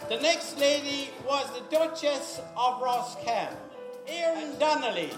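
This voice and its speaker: High-pitched Irish male announcer in echoey hall. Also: expressive, unhurried. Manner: emphatic, ceremonial build-up to each name. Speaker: presenter announcing award recipients at an event. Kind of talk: live stage announcing, calling out names. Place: large echoey event hall. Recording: echoey hall PA, loud music and crowd. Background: loud music and crowd noise.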